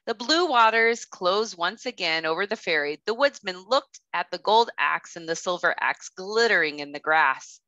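A woman in her thirties, a kindergarten teacher reading a children's storybook aloud with careful, expressive delivery. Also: lowish voice, moderate pace, few pauses, no echo, muffled recording. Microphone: headset.

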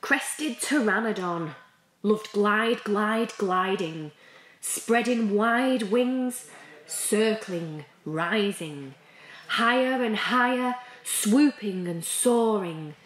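Extremely slow woman reading to children. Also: medium pitch, expressive. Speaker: school staff reader. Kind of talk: children's picture book read-aloud. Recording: roomy, echoey sound.

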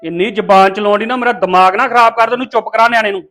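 A fairly high-voiced actor plays a man of the house, short-tempered but protective. His delivery is blunt, colloquial and emphatic, in family-melodrama style, with music underneath. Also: brisk, lightly acted. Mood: angry, scolding. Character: scolding, short-tempered man, protective toward an abandoned baby. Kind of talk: melodramatic domestic drama acting.

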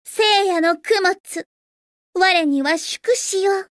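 A woman voicing a teenage gothic idol girl in a Japanese game, grandiose and sweetly shy, switching between pompous declarations and soft plain talk. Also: extremely high pitch, slow pace, dry recording, studio quality. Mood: excited, sweet.

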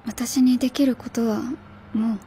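A woman's voice: a little high, steady tone, normal speed, dark tone, slight background noise, natural voice. A woman playing an earnest, polite young teacher, timid but principled, in a radio drama. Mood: downcast. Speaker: actor.

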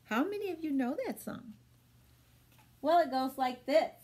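A middle-aged woman leads a storytime for small children. Her voice sits high, and she takes her time with an unhurried, expressive delivery. Phrases are set out clearly for young listeners. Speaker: children's storytime host at a public library. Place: small plain room, indoors.

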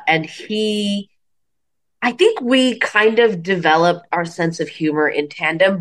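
Low-pitched woman from Bangladesh, chatty storyteller. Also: a bit bright. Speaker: comedian, interview guest. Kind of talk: casual conversational interview.

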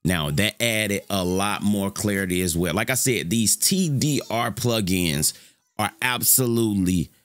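A young man with a deep voice giving a loose, rambling, slangy tutorial on his streaming setup from his home room. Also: lively intonation, measured pace, fairly bright tone, no room sound. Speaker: streamer showing mic settings. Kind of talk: casual screen-recorded tutorial.

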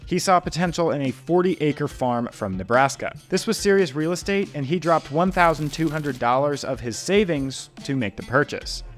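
Young male host, slightly higher voice, confident scripted explainer read, close podcast mic, faint music bed. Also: animated, normal speed, hardly any pauses. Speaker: finance video host. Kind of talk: scripted narration. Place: small home studio room. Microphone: podcast mic on boom in front.

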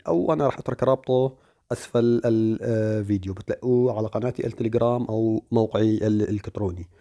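Man, rapid delivery, chatty tutorial host, explains step by step. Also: fairly low pitch, warm tone. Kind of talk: casual screen-recorded phone tutorial.

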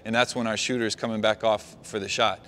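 A middle-aged man, a basketball coach, walking his players through a play on the court and calling out quick praise. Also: lowish voice, flat delivery, fairly bright tone, slight background noise. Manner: talks players through a walk-through, calls out praise. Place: large indoor gym.

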